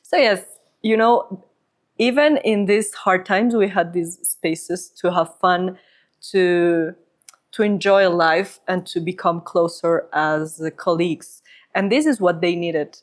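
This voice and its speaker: Lower-voiced Ecuadorian woman telling her story. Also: slow. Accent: Ecuadorian Spanish. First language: Spanish. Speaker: podcast guest, humanitarian psychologist. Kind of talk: personal storytelling in an interview.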